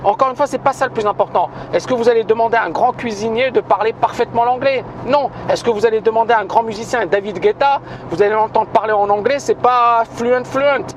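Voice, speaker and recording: A man's voice: very high voice, a little fast, few pauses, slight background noise. A man who founded a trading school makes an emphatic video announcement, repeating himself and hesitating often. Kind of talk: spoken video announcement to viewers.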